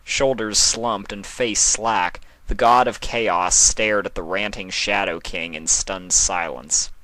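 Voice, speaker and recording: A man's voice: on the low side, sharp tone, no echo, poor audio. A man reading scripted story narration as the narrator of a tale.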